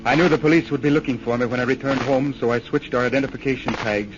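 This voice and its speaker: Male actor as devout war veteran, earnest, mid-pitched, solemn 1930s radio drama, dull hissy recording. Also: steady tone, quick pace, close to an everyday voice. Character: grieving, devout war veteran hiding from police.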